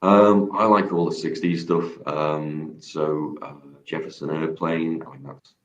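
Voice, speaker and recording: A man with an extremely deep voice talks casually about singing, modest and full of restarts. The sound is roomy and a little dull, like a studio with hard walls. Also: steady tone, measured pace. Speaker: guest singer. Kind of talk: casual radio chat.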